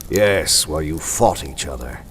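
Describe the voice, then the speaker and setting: Menacing unseen crime-fighter, deep voice, mocking, deliberate, old-time radio drama, faint noise. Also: male voice, expressive. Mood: taunting. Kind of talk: Golden Age radio drama acting.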